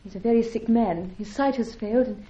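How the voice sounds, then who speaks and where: High-voiced earnest young English woman acted. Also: a little fast, warm tone, lightly acted. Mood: sad, earnest. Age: young adult. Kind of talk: old-time radio drama acting. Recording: dull vintage radio recording.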